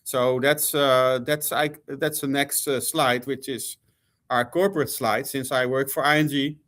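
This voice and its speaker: A man's voice: medium pitch, fairly even intonation, unhurried, fairly bright tone. A middle-aged man from the Netherlands with a Dutch accent, giving a conference presentation with many fillers and restarts. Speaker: conference speaker. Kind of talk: presentation talk.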